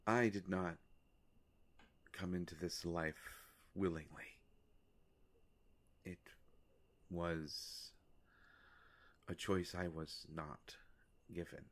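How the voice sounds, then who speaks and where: A man playing a courteous, melancholy vampire in a roleplay audio drama, speaking formally and hesitantly with long pauses and a gravelly voice. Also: fairly even intonation, fairly bright tone, heavily acted. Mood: wistful, earnest. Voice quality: gravelly, creaky low voice. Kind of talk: scripted roleplay audio drama addressed to the listener.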